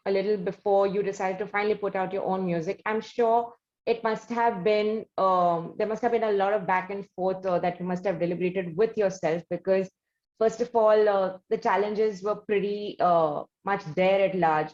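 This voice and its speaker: A woman's voice: medium pitch, steady tone, moderate pace, a little mellow. Woman interviewer, roomy muffled sound. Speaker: interview host. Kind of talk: conversational interview hosting.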